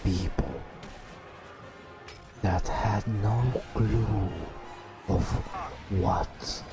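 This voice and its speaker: A man telling a bedtime story in a hushed ASMR style, in drawn-out phrases with long pauses. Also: lowish voice, fairly even intonation, loud music behind the voice. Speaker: narrator. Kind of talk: whispered ASMR bedtime storytelling over game footage.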